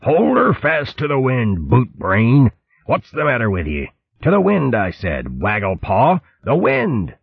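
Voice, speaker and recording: A man's voice: fairly low pitch, huge pitch swings, unhurried, breathy edge, no room sound, pitched above the performer's own voice, full character voice. A man voicing a hot-tempered male fox pirate captain who roars and sulks in pirate talk, on a tinny lo-fi recording. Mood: roaring. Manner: roaring, huffy, pirate-talking. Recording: tinny lo-fi line.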